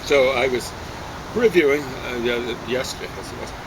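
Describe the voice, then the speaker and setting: Male poem reader and casual chatter, mid-range voice, recorded outside over clearly audible traffic. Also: medium pitch, a little fast. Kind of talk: casual chat and poem reading. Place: outdoors on a deck. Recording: outdoor recording with clear vehicle noise.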